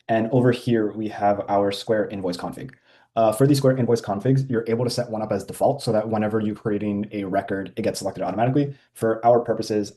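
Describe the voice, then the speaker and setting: A man walking through a software feature in a casual screen-share demo, with frequent ums and uhs. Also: lowish voice.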